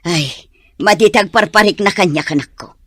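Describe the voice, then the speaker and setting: A woman's voice: on the low side, moderate pace, dry recording, clean studio sound, close to an everyday voice. A woman playing a protective, fussing mother in an audio drama. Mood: concerned, scolding. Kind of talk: Ilocano audio drama acting.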